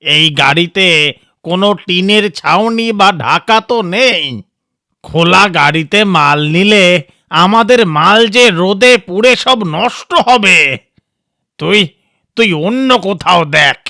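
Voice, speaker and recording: A man voices a stubborn, practical cartoon farmer. The voice is high and creaky, scolding and insistent, recorded dry. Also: expressive, measured pace, bright, forceful tone, dry recording, full character voice. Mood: refusing. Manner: admonishing, insistent. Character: firm, practical male village farmer, reluctant and blunt. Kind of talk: animated moral story acting.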